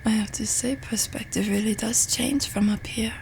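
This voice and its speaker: A woman playing a playful, teasing, affectionate young French roommate in an intimate ASMR roleplay, speaking softly and without hurry. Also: fairly high pitch, steady tone, slight background noise. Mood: tender, relaxed. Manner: soft, unhurried, breathy whispering, teasing.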